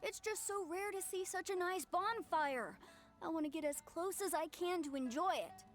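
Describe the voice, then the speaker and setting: A woman's voice: very high-pitched, moderate pace, faint music behind. A woman voicing an eager young festival-goer in an anime game.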